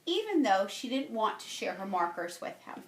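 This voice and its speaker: A woman's voice: medium pitch, sing-song, normal speed, a little hiss or hum. A woman who works as a teacher, reading a children's picture book aloud expressively. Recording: roomy, echoey home-style recording.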